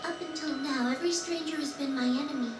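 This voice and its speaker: A woman playing a gentle, earnest young girl, sad but resolute, in a video game dub. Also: high voice, fast talker, lightly acted. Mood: wistful, resolute. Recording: roomy game audio, distant, music over it.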